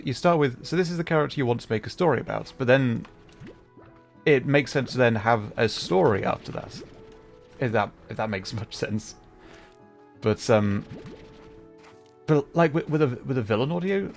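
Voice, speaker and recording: A man's voice: medium pitch, creaky. A man chatting casually on a gaming livestream, thinking aloud in a hesitant, rambling way. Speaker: streamer. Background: game music.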